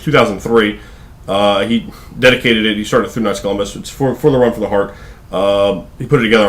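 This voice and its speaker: A man's voice: lowish voice, fairly bright tone. A man in his thirties from Virginia with a Southern accent, rambling casually to camera in his living room, full of fillers and restarts. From Virginia, USA. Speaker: vlogger. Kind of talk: casual talking-head vlog.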